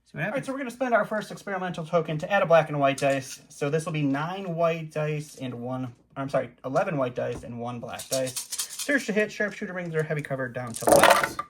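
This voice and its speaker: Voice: medium pitch, lively intonation, a little fast, hardly any pauses. Easygoing player voice, calls out moves and rolls, faint background noise. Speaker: tabletop wargame player. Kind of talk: casual battle report play-by-play.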